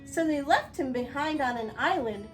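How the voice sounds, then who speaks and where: A woman reading a picture book aloud as a children's teacher, expressive and instructive, explaining things directly to young viewers. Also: sits high, normal speed. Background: music.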